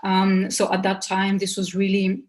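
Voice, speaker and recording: A woman's voice: mid-range voice, completely flat delivery, darkish tone. Hesitant woman presenter. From Bulgaria. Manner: hesitant, halting delivery with many pauses. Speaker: seminar presenter. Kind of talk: informal slide presentation.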